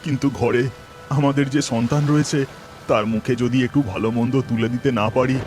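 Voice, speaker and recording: A man's voice: medium pitch, a little fast, a little mellow, close to an everyday voice. A man voicing a poor, anxious village fisherman and devoted father in a melodramatic horror cartoon. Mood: earnest. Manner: fretful, melodramatic. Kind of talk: Bengali horror cartoon voice acting. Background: music.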